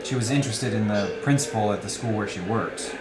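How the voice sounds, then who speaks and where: A man acting a tipsy, sick friend in a novel reading, hesitant and deadpan as he feigns sobriety. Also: on the low side, fairly quick, loud music behind the voice, natural voice. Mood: wry, musing. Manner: hesitant, deadpan, earnestly straight-faced. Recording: echoey room.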